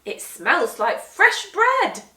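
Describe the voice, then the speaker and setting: A woman's voice: sits high, huge pitch swings, takes it slowly, sharp tone, voice pushed up, heavily acted. A kindly foster mother from a children's storybook, acted out by a woman reading aloud. She speaks in a lifted, motherly voice with big swoops and strong emphasis. The sound is roomy and a little distant. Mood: delighted. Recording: roomy echo, distant microphone.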